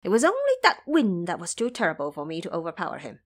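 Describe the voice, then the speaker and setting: A woman voicing a proud, excitable male monkey warrior in an audio drama, exclamatory and boastful. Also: on the low side, fast talker. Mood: brisk, frustrated. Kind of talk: audio drama character dialogue.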